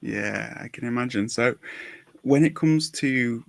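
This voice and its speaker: A man's voice: mid-range voice, a little slow, creaky, no room sound. A man hosting a podcast interview, asking his questions in a casual, conversational way.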